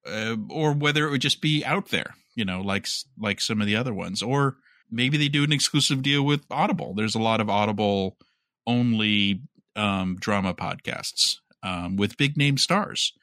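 A man with a fairly low voice and a bright, crisp edge co-hosts a casual news podcast. He speculates analytically, reworking his phrasing as he goes. The recording is dry, with no room sound. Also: moderate pace, dry recording. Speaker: podcast co-host. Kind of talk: casual entertainment news discussion.

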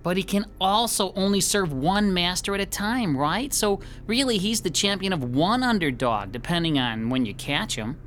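A man's voice: sits high, animated, moderate pace, few pauses, fairly bright tone, a little hiss or hum. A man playing an argumentative, sarcastic comic book geek with a skeptical manner in a radio drama. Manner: skeptical, exasperated.

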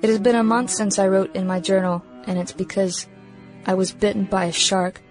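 A woman's voice: medium pitch, steady tone, moderate pace, darkish tone, lightly acted. A woman voices a brave little boy in a radio drama. He reads his diary simply and earnestly, with childlike innocence, over faint music. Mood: earnest, matter-of-fact. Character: plucky young boy recovering from shark attack, earnest, innocent. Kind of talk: radio play diary monologue.

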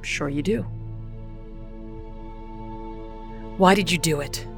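A woman's voice: sits low, brisk, over music. A woman playing a weary, firm starship captain in a science fiction audio drama, terse and commanding. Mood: pressing.

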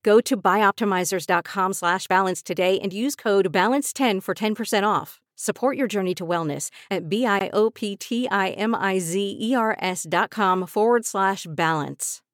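Female ad voiceover, medium pitch, polished n persuasive, super dry studio mic. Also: normal speed, hardly any pauses, no echo. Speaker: advertisement voice-over. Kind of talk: scripted supplement ad read. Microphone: close dry studio voice-over.

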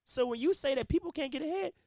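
A man co-hosting a casual group conversation, animated and argumentative, swearing casually. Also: extremely high pitch, quick pace, no echo, clean studio sound. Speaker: podcast co-host.

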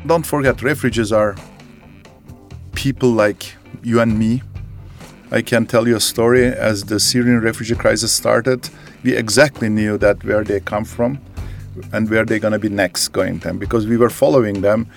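Gravelly low-voiced executive man. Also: steady tone, normal speed, soft background music. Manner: earnest, slightly broken phrasing. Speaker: company executive. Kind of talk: interview answers.

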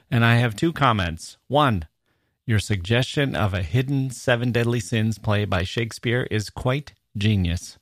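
A man's voice: on the low side, dry recording, studio quality. A man hosting a podcast, reading copy and mail in a casual, playfully mock-serious way. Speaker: podcast host. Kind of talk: casual, scripted ad reads and listener email reading.

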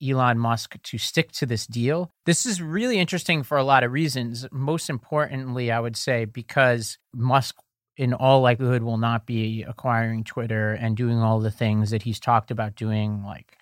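A man co-hosting a talk podcast in easy, animated chat, often breaking into laughter. His voice sits in a middle pitch and sounds dry and close, with no room around it. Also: dry recording. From lives in New York. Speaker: podcast co-host. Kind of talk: casual chat.

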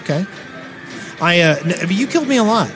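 A man in a casual gaming chat, telling joking, animated anecdotes. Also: high-pitched, fairly quick, over music, low-quality recording.

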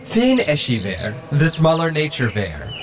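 An actor playing an ancient spirit companion for a fantasy audio drama. The delivery is slow and formal with big swoops in pitch, patient and gently amused, over clearly audible background noise. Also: wildly swooping pitch. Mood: patient explaining. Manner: measured, formal, drawn-out delivery.

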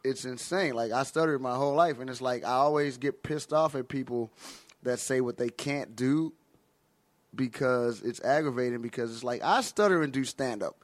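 A man with an American accent telling casual, comedic stories in animated, profane slang as a guest comedian. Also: mid-range voice, moderate pace, dry recording.

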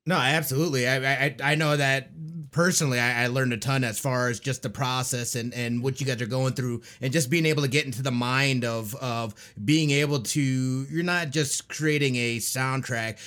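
A man's voice: mid-range voice, a bit bright. Appreciative male podcast host. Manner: appreciative, halting with many fillers. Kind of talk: casual podcast interview.

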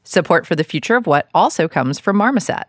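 A woman's voice: lowish voice, animated, quick pace. A woman hosting an interview podcast, speaking as presenter and interviewer. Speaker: podcast host. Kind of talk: podcast interview and sponsor reads.

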